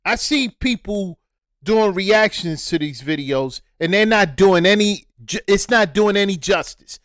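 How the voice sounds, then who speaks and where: A man speaking with a high-pitched voice delivers reaction commentary. He talks at a deliberate, slow pace, lecturing and repeating his points for emphasis. The sound is dry and close. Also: expressive, a bit bright, dry recording. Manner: preachy, repetitive, emphatic.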